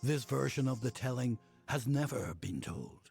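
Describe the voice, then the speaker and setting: A man acting a solemn, cautious fae storyteller in a video game, speaking in a grave, measured, formal way. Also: mid-range voice, expressive, vocal fry, darkish tone, soft background music. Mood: grave worry.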